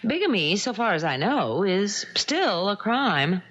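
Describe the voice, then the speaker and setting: An actress in a 1970s radio drama playing a calculating blackmailer and novelist, with an arch, expressive delivery. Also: female voice, mid-range voice, measured pace, muffled recording. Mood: sly, confident.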